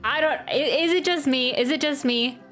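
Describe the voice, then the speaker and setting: A woman's voice: high voice, normal speed, fairly bright tone. A woman game streamer giving casual reaction commentary as she plays. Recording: game music under the voice. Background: video game music.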